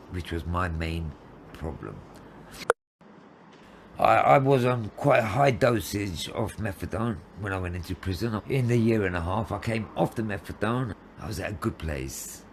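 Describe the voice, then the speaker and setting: Slow, low-voiced man in his fifties. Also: background noise. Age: 50s. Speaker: interviewee telling own story of addiction and homelessness. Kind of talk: personal testimony.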